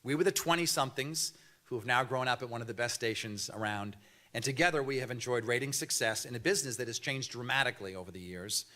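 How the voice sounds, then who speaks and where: A news anchor in his fifties accepts an award at a banquet podium, speaking into the microphone with a mild Boston accent. His delivery is measured and earnest, a prepared speech with some light storytelling humor. His voice sits at an ordinary middle pitch for a man. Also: sharp tone. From Boston area, USA.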